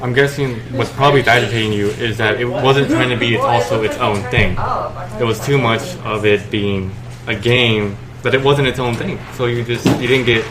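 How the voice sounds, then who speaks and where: A man co-hosting a casual chat show, talking quickly and musing with hedges. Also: medium pitch, fairly even intonation, heavy background noise. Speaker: podcast co-host.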